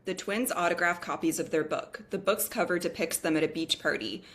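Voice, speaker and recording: A woman reads stage directions from a screenplay. Her voice sits somewhat low for a woman. It is scripted narration for a table read. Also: fairly quick, a bit bright.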